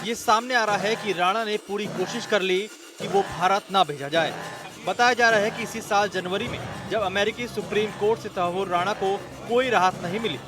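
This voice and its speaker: A male news reporter delivers a scripted voice-over in a high-pitched voice. Music plays clearly behind the narration.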